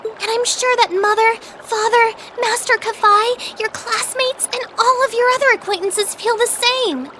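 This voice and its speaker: An actress voicing a caring, polite teenage younger sister in a video game, with an earnest, proper way of speaking. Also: female voice, very high voice, measured pace, background noise. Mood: upset, pleading. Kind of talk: video game cutscene voice acting. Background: trickling water ambience.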